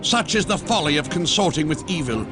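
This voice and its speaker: A man's voice: on the high side, lively intonation, brisk, over music, lightly acted. A man playing a proud, severe and devout commissar in a game cutscene, speaking formally and declaiming. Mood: zealous. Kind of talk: scripted game cutscene acting.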